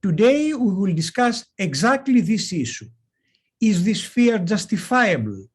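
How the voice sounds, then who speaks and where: A man opening an online medical webinar as moderator, introducing speakers and explaining housekeeping in a measured, formal way. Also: high voice, sing-song, slightly rough. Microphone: video call.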